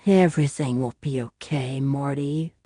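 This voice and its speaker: A woman playing a gentle, doting mother who soothes her small baby in a soft, tender, cooing ASMR bedtime roleplay. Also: a bass voice, unhurried, no echo, clean studio sound. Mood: tender concern. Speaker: ASMR audio roleplay performer.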